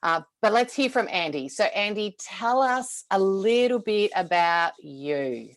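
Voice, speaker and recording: A woman's voice: medium pitch, animated, unhurried. A woman hosting a conversational interview over a video call, friendly and enthusiastic. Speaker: host.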